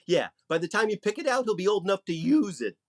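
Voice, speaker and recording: An actor playing an impatient, sarcastic and worn-out department store clerk in an old-time radio comedy. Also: male voice, high-pitched, very animated, fast talker, heavily acted.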